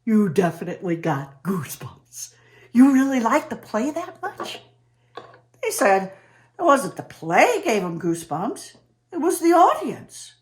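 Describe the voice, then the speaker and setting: A woman playing an eccentric, awestruck character in a theatrical comic monologue, telling her story with dramatic emphasis and pauses. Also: fairly high pitch, sing-song. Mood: confiding, amazed. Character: eccentric, awestruck woman named Trudy who chums with space aliens.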